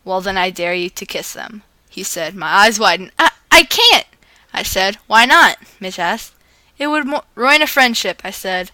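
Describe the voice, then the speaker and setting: A woman's voice: mid-range voice, expressive, moderate pace, sharp tone, dry recording. A woman reading fan fiction aloud as a video host, playfully acting out the dialogue.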